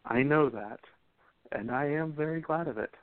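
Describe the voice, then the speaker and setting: A man playing a gentle, supportive 1920s sweetheart in a radio play, tender and earnest. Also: a little high, moderate pace, dry recording, close to an everyday voice. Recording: tinny phone-like sound.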